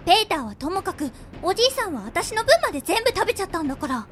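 A very high-pitched, sweet little girl. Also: sing-song, fast talker, fairly bright tone, slight background noise, full character voice. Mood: sweet, cheerful. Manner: cutesy, polite, melodramatically self-pitying. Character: sweet, easily wounded young girl. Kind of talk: amateur comedic radio drama acting.

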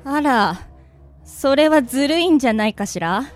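Woman acting a high, sharp-tongued young woman. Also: lively intonation, normal speed. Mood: accusing. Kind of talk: comedy radio drama acting. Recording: long reverb with background music.

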